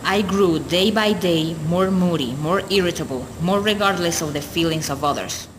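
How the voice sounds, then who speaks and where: A woman playing a confessional narrator in a radio play, telling the story in formal, measured literary prose. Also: fairly low pitch, close to an everyday voice. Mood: wistful. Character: confessional narrator, an animal lover ruined by drink. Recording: noisy, long hall-like reverb.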